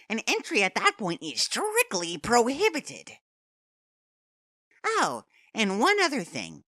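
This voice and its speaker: Gleeful, menacing, wildly swooping robot bear. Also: female voice, a little high, a bit bright, no echo, clean studio sound, heavily acted. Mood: smug, officious. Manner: mock-sweet, taunting, theatrical. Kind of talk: anime game fandub acting.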